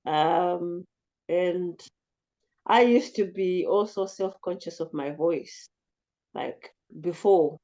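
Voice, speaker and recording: Low-voiced talkative woman. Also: slow, mellow, lo-fi sound. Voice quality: low, commanding voice. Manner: talkative, reflective. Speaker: group facilitator. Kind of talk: casual group discussion.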